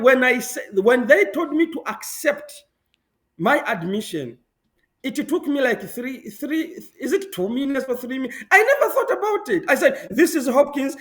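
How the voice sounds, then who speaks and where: Interview guest from Zambia, male, young adult, high voice, lively and emphatic delivery. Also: moderate pace. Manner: animated, laughs often, emphatic repetitions. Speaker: guest, public health professional. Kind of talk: conversational interview answers.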